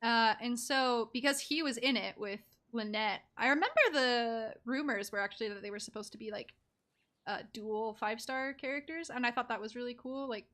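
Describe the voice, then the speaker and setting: A woman's voice: a little high, moderate pace, a bit bright. A young woman giving casual, rambling live game commentary with giggly asides as a streamer.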